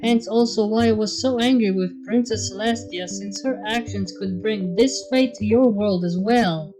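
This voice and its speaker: A woman's voice: medium pitch, moderate pace, natural voice, poor audio. A woman voicing a blunt, world-weary pony with a dry manner in a fan story reading.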